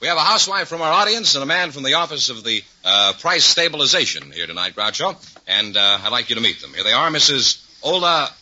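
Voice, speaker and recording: A man's voice: medium pitch, animated, fast talker. A man announcing scores and contestants on a 1950s radio quiz show. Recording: dull 1950s radio, faint noise.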